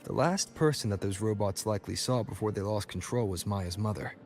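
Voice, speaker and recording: Man voicing a calm young traveler, lowish voice. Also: fairly quick, soft background music, natural voice.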